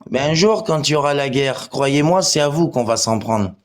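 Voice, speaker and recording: A man talks on a livestream in a middle-pitched voice. He is confrontational and crude, swearing and trading abuse with commenters as he goes. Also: animated, normal speed. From Portugal. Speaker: live stream participant. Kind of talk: livestream chat replying to commenters.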